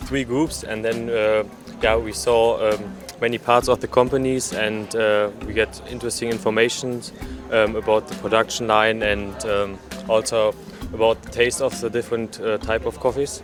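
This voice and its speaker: A hesitant man with a slightly low, monotone voice describes a visit in a casual interview. Guitar music is clearly heard behind him. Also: fairly quick.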